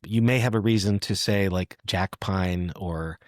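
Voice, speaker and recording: A man with a low voice hosts a casual literary discussion. He thinks aloud in a reflective, halting way, and the recording is very dry with hardly any room sound. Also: no echo. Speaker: podcast host.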